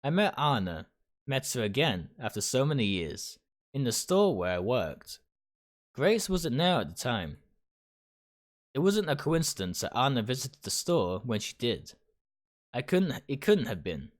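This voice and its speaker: A man reading first-person game narration aloud dramatically, in short, deliberate phrases. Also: medium pitch, sing-song. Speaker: game streamer reading a visual novel aloud. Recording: music behind the voice.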